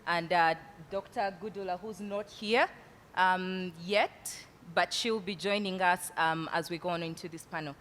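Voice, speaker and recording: A woman's voice: fairly even intonation, a little slow. Woman moderating a panel in a hall. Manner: says she has a loud voice, with many fillers. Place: large event hall. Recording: hall reverb, faint rumble.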